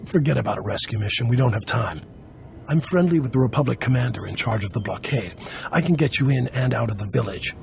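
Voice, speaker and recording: Gravelly, wry underworld dealer. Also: male voice, medium pitch, lively intonation, quick pace, a little mellow, a little hiss or hum. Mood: grim, businesslike.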